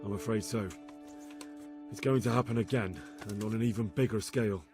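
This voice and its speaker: A man's voice: on the low side, a little fast, darkish tone, soft background music, natural voice. An actor voicing an earnest, respectful young man-at-arms in a medieval video game cutscene. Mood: grave, reporting. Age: young adult.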